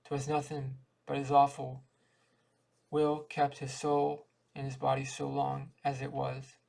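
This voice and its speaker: A man's voice: medium pitch, gravelly, a little mellow, rough recording. A man reading a folksy dialect short story aloud as an amateur audiobook reader, often stumbling and repeating words.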